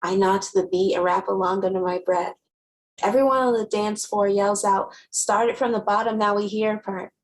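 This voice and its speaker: A woman playing a wry, guarded, sharp-tongued teenage girl who narrates her own story conversationally in a dramatic novel reading. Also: medium pitch, fairly even intonation, normal speed, close to an everyday voice, rough recording. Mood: playful. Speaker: actor.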